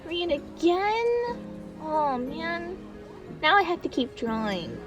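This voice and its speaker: A woman's voice: very high voice, big pitch swings, slow, far from the mic, music in the background, exaggerated voice. A woman playing an innocent, chatty young child in an audio roleplay, eager and rambling. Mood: playful.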